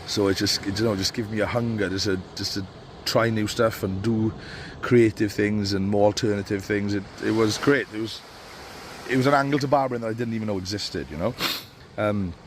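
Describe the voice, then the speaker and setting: A man's voice: fairly low pitch, steady tone, fairly quick. A man with a cold, giving casual, rambling advice to barbers from a beach. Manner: rambling, with many fillers and restarts. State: stuffy with a cold. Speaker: barber coach. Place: outdoors on a beach. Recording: faint outdoor noise.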